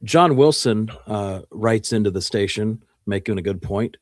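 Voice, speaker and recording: Lowish-voiced man in a dry studio sound. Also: measured pace, dry recording. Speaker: radio show co-host. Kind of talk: casual discussion and commentary.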